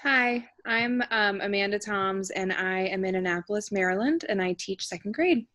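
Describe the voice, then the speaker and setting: Soft-spoken woman, dry close sound. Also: mid-range voice, moderate pace, no room sound. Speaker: second grade teacher, student. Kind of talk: self-introduction on a video call. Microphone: video call.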